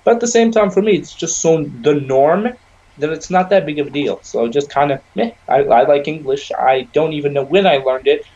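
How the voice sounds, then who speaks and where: A man's voice: on the high side, moderate pace, darkish tone, muffled recording. Rambling young man on a podcast. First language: Arabic. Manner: rambling, casual, peppered with "you know". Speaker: podcast co-host. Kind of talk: casual chat.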